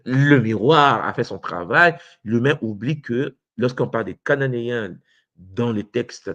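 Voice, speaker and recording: A man's voice: on the low side, creaky, a bit bright, dry recording, lo-fi sound. A man from Congo, lecturing an online audience and addressing listeners directly, with frequent hesitations and tag words. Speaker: teacher of traditional spirituality.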